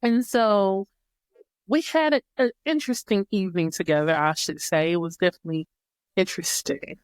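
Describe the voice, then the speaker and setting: A woman's voice: medium pitch, normal speed, smooth, dry recording. A woman guest on a podcast, telling a personal story in a reflective way and often repeating and rephrasing herself. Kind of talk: casual storytelling chat.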